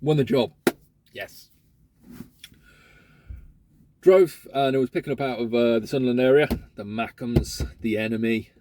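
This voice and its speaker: A man's voice: mid-range voice, moderate pace, background noise. A man vlogging casually about his courier work, chatty and grumbling, swearing now and then. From England. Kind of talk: casual vlog monologue.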